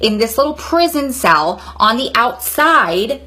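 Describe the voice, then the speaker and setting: A woman's voice: a little high, big pitch swings, a little slow, sharp tone. A woman of about forty giving candid advice in a vlog, speaking in an earnest, encouraging, conversational way. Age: 39, nearly 40. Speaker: vlogger advising prison wives.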